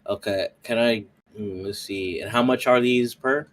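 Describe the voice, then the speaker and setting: A man's voice: on the low side, fairly even intonation, moderate pace. A man playing a tabletop roleplaying game, chatting casually and hesitantly as he thinks aloud. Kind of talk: casual chat during a game.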